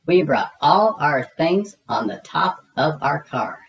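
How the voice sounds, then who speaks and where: Woman as a good-humored father pig for a storybook, low and relaxed. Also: slow, exaggerated voice, rough recording. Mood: jolly. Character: jolly easygoing father pig.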